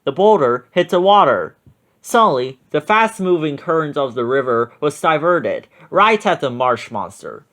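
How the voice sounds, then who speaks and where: A reader narrating a children's story aloud in an expressive read-aloud style. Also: mid-range voice, moderate pace.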